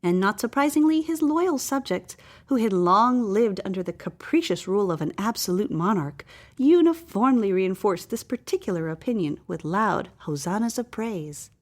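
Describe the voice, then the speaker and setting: A woman reads a satirical tale in a mid-range voice. Her scripted storybook narration is theatrical and deliberate, with stretched emphasis on chosen words. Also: mid-range voice, darkish tone. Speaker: story reader.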